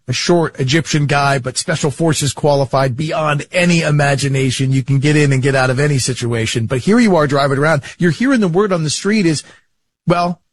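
Host: man with a husky voice, long setup questions, slows for emphasis. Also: fairly high pitch. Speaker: podcast host. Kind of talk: interview questions.

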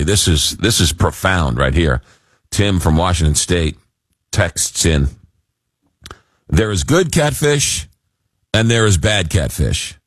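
A man hosting a radio call-in show, talking casually and haltingly in a droll way, slightly hoarse from a cold. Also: deep voice, no echo, studio quality. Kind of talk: casual live talk radio monologue. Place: radio studio. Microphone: close broadcast mic.

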